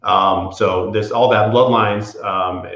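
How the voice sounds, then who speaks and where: Lawyer guest, male, low voice, halting delivery with lots of ums, dull roomy remote audio. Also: steady tone, a bit bright. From Florida Panhandle, USA. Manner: hesitant, many fillers and restarts. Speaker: property insurance attorney, guest. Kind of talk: casual interview talk.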